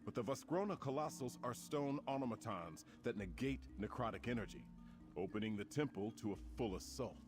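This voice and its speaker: A man's voice: medium pitch, brisk, close to an everyday voice. A man voicing a confident, commanding warrior prince in a fantasy video game, speaking formally and rousingly. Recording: prominent music behind the voice. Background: game music.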